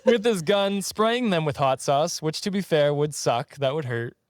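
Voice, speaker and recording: A man's voice: on the high side, expressive, normal speed, no echo, studio quality. A man from Canada hosting a podcast, telling stories in a casual, jokey way with banter. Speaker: podcast host. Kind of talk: casual comedic storytelling with banter.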